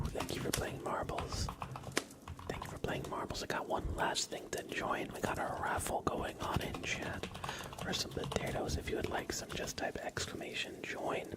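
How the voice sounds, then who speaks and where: A man hosting an ASMR livestream in a hushed, breathy whisper with a deliberate, unhurried delivery. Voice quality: breathy, mostly unvoiced whisper. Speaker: ASMR live streamer and host.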